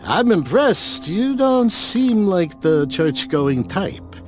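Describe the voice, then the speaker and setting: An actor playing a shrewd older loan shark in a video game, measured and courteous with a threatening edge. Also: male voice, high-pitched, very animated, a little mellow, faint music behind, exaggerated voice. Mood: amused warning. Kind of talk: video game cutscene acting.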